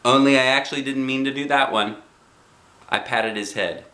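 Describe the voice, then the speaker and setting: A man reading a children's book aloud in an expressive storytelling style. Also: mid-range voice, moderate pace, reverberant. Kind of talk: animated storybook narration.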